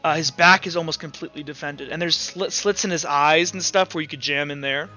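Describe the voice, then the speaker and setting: High-voiced, bright man running a tabletop game. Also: brisk. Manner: informal, vivid gory description, checks rules aloud. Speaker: game master. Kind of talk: running an online tabletop roleplay session, casual narration. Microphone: online voice call. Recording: dull online voice-chat audio with music behind. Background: music.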